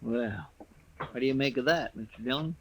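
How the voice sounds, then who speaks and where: An actor playing a folksy, earnest and deferential American sidekick to a frontier marshal in a 1950s radio western. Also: male voice, mid-range voice, moderate pace, natural voice. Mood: puzzled. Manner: folksy, deferential, rustic drawling phrasing. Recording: faintly noisy vintage radio recording.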